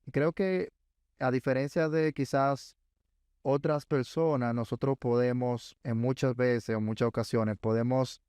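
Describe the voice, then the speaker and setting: A man hosts a podcast with a smooth, clear voice recorded dry and close. He talks in a reflective, agreeable way and builds on what his guest has said. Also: medium pitch, steady tone, a little slow, a little mellow, no room sound. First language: Spanish. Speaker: podcast host. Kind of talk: casual interview chat.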